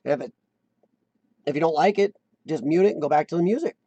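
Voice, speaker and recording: A man's voice: fairly high pitch, lively intonation, fairly quick, dark tone, breathy edge, no echo, lo-fi sound. A man hosting a casual live-stream chat, rambling and self-deprecating, often restarting his sentences. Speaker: host of a live chat show.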